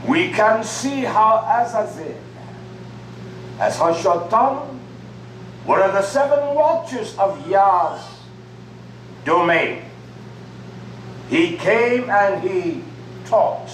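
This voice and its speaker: A man's voice: high-pitched, lively intonation, extremely slow, long pauses. A man preaching a sermon in short, emphatic phrases. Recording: echoey room from far off with faint noise.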